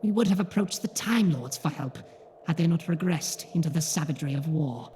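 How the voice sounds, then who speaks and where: Guy doing a desperate formal alien, high breathy voice, mellow, bit of noise behind. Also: expressive, lightly acted. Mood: solemn regret. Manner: formal, measured, pleading. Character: grave, desperate horned alien named Yoon seeking help. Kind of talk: audiobook character voice.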